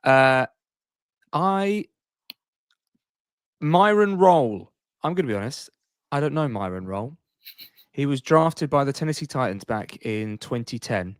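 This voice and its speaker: A man's voice: mid-range voice, animated, a little slow, long pauses, smooth, no room sound, clean studio sound. A man co-hosting a sports podcast in a casual, informal, conversational way.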